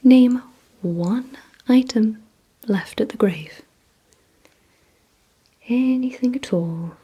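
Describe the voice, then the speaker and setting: A woman doing an ASMR roleplay, mostly in a breathy whisper, giving gently commanding instructions in a deliberate manner. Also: fairly high pitch, dull audio. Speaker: ASMR creator playing a vampire giving memory and attention tests.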